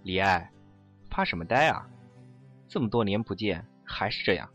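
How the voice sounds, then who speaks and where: A man's voice: low voice, lively intonation, moderate pace, a bit bright, faint music behind. A man playing a casual, teasing old friend in a radio drama, recorded with a muffled sound.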